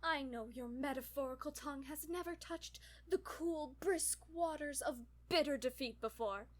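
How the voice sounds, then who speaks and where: A woman's voice: sits high, moderate pace, close to an everyday voice. A woman playing a brash, playful spaceship captain with a theatrical manner in a scripted audio drama. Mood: gloating. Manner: dramatic, gloating, casual cursing.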